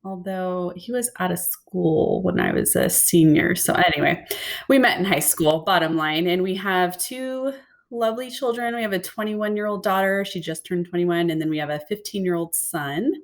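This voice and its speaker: A woman's voice: on the low side, moderate pace. Friendly woman guest, hesitant and laughing. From born in Hawaii, raised in San Diego. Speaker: guest, business owner. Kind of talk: casual interview chat.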